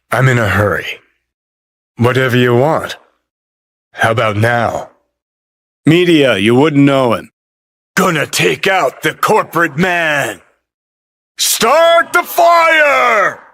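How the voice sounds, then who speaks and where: A man's voice: mid-range voice, fairly bright tone, exaggerated voice. A male actor playing a cynical, hot-tempered rebel rocker in a cyberpunk video game, with a terse, sardonic, swaggering delivery. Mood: grim, bitter, then cool, sardonic, then angry, urgent.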